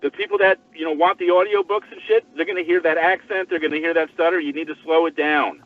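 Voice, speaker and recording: A man's voice: a little high, steady tone, fast, no room sound. An older man chatting casually and giving advice in a blunt, coaxing manner. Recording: tinny phone-like line, distant, music behind.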